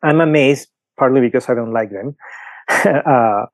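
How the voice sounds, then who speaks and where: Peruvian-accented priest, dry close sound. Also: male voice, medium pitch, unhurried, no echo. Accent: Peruvian Spanish. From Peru. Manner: thoughtful, explains with examples, frequent fillers. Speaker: priest guest. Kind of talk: podcast interview answer.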